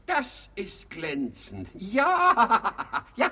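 A man's voice: high voice, huge pitch swings, drawn-out words, bright, forceful tone, slightly rough, heavily acted. A man playing a gullible older hypochondriac master of the house in a classic radio comedy, with a theatrical delivery. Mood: gleeful, fussy. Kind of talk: German radio play acting of classic comedy.

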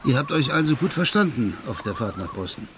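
A man's voice: medium pitch, big pitch swings, a little fast, background noise, lightly acted. A man playing an unruffled fellow who explains things casually in a radio play. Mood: explaining, unruffled. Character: man casually explaining a woman's criminal past.

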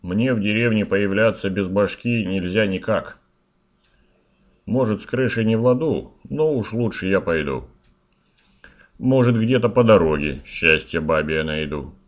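A man's voice: lowish voice, lively intonation, a little slow. A man playing a simple, stubborn, cheeky young village lad who banters in folksy rhyme for a fairy-tale audio play, recorded a little muffled. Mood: resigned, musing.

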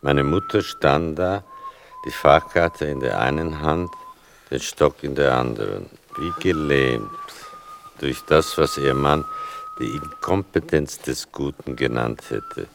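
An actor playing a son who narrates a radio play about his mother in a measured, dryly ironic, gravelly voice. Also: a bass voice, noisy background, exaggerated voice. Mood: wry, fond.

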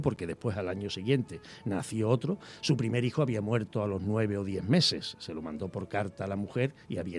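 A man speaking as a guest about a poet, explaining at length and sometimes reading verse slowly and softly. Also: lowish voice. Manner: reads verse slowly and softly, trailing off at line ends; rambling, restarts words while explaining. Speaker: literature guest. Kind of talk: explanatory radio talk with poetry reading.

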